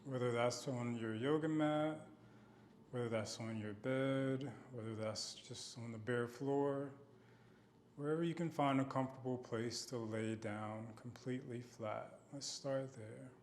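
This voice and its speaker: A man guiding a body scan meditation in a gentle, unhurried manner with long pauses. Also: mid-range voice, slight background noise. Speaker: meditation guide.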